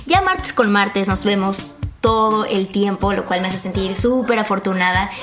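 A woman's voice: medium pitch, lively intonation, normal speed, music in the background. A woman hosting a podcast, chatty and playful, greeting her listeners as friends and recording at her guest's home. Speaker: podcast host. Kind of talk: casual podcast chat.

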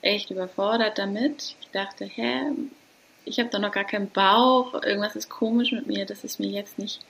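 A woman in her thirties from Hamburg, talking casually and hesitantly as a guest, with many pauses and light laughs. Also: mid-range voice, fairly even intonation, creaky, a bit bright, husky, poor audio. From Hamburg, Germany. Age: 30s. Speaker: guest, doula. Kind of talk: casual interview talk.

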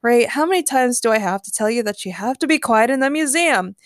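A woman's voice: fairly high pitch, lively intonation, fairly quick, dry recording, studio quality, lightly acted. Woman playing a stern male security guard.

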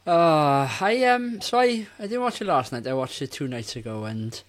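A man's voice: fairly high pitch, big pitch swings, normal speed. A man in his forties co-hosting a casual film review podcast, speaking in a blunt, exasperated way. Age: 40s. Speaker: podcast co-host.

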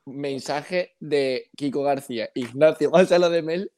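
A man's voice: fairly high pitch, measured pace, a little mellow. A man co-hosting a radio show in casual, joking chat. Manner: joking, bantering.

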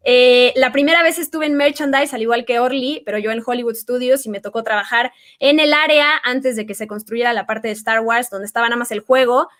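A woman's voice: fairly high pitch, a little fast, hardly any pauses. A woman with a Mexican accent chatting casually, telling stories about her work with frequent restarts. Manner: warm storytelling with many restarts and fillers. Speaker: guest. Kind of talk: casual chat.

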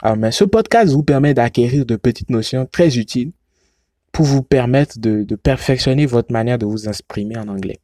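A man's voice: mid-range voice, moderate pace, warm tone, dry recording, studio quality. A man talking casually about podcasts he likes, often restarting and repeating words, whose first language comes from the Yoruba family. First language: a language derived from Yoruba. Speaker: podcast reviewer. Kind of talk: casual recommendation monologue.

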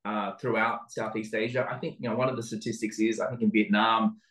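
A middle-aged Australian man answering interview questions in a halting, reflective, conversational way. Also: on the low side, level tone, fairly bright tone. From Sydney, Australia. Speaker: interview guest, venture capital investor. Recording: roomy, echoing sound.

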